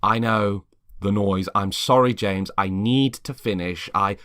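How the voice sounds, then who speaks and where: A man's voice: on the low side, measured pace, fairly bright tone, no echo, clean studio sound. A man playing a stubborn, obsessive artist neighbour in a dramatised story reading, speaking defensively and insistently. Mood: apologetic.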